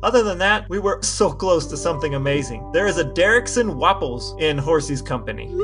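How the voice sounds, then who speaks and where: Higher-pitched, dry man. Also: animated, a little fast, over music. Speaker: podcast co-host. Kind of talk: casual banter, partly reading texts aloud.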